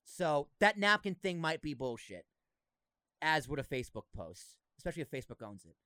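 A man's voice: on the high side, big pitch swings, brisk, bright, forceful tone, clean tone, no room sound, studio quality. A young man with a slight Boston accent, hosting a podcast in casual, blunt, joking chat. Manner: blunt, jokey, swears, laughs often. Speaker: podcast host.

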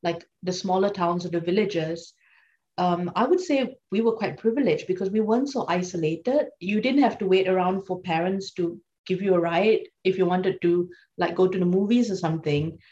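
A woman in her forties speaks in a lowish voice as a podcast guest. She talks things through thoughtfully, with many "um"s and restarts. The recording sounds dull and lo-fi. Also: moderate pace, warm tone. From Singapore. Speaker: law lecturer, podcast guest. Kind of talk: conversational interview.

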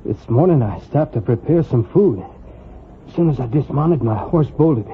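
An actor plays an earnest runaway of twenty in an old radio western. His American voice is mid-pitched, folksy and halting, full of trouble. The recording is narrow-band and noisy. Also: expressive, lightly acted. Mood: weak, weary. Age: young adult. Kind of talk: 1940s radio western acting. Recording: muffled, hissy vintage radio recording.

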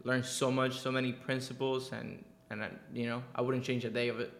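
A man's voice: mid-range voice, little pitch movement, brisk, vocal fry, echoey room, a little hiss or hum. A young man from Cuba, a podcast guest, talking casually and animatedly, repeating words for emphasis. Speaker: podcast guest, entrepreneur and coach. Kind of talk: casual interview conversation.

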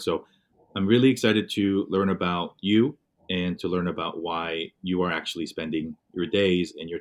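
A man hosting a casual campaign interview, upbeat and eager as he introduces his guest and asks questions. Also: deep voice. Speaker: interview host.

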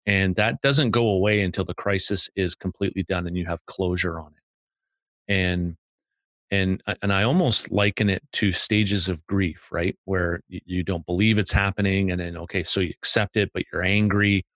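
A man's voice: low voice, steady tone, moderate pace, a bit bright, dry recording. A man co-hosting a casual chat, methodically breaking ideas down into stages, with hesitant fillers. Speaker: podcast co-host.

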